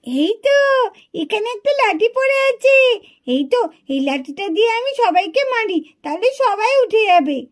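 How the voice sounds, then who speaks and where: A woman's voice: very high voice, lively intonation, normal speed. A woman voicing a stubborn, curious little village girl in a children's puppet cartoon, with a whiny, insistent way of talking. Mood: mischievous. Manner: whiny, insistent, repeats demands.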